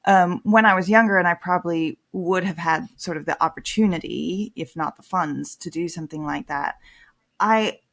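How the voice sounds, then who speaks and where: Thoughtful woman guest, lowish voice. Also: moderate pace. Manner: thoughtful, candid, swings from soft to emphatic. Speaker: priest and author, guest. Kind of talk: reflective conversational interview.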